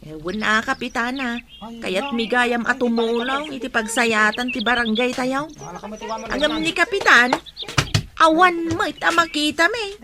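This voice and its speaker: A woman's voice: high-pitched, a little slow, a crowd in the background. A woman with an Ilocano Filipino accent playing a chatty, self-righteous village gossip in a comedy radio drama. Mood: complaining.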